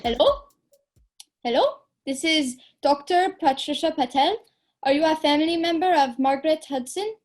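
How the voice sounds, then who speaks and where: A woman's voice: high-pitched, moderate pace, a little mellow, faint music behind, voice pushed down, natural voice. A young woman playing a female doctor who speaks in a formal, clipped way in an amateur audio drama.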